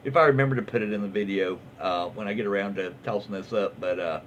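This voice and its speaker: A man's voice: on the low side, fast talker. A man with a Southern American accent casually reading online stories aloud, folksy and informal, stumbling over words and adding off-the-cuff commentary. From southern United States. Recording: muffled lo-fi audio, faint background noise.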